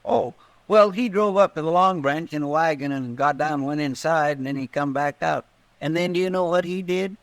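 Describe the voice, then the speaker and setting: Old radio western sidekick: male actor as garrulous, deferential deputy, creaky highish voice. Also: moderate pace, dry recording, natural voice. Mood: suspicious, eager. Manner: rambling, deferential, folksy. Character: folksy, earnest, garrulous deputy of a western marshal. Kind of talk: 1950s western radio drama acting.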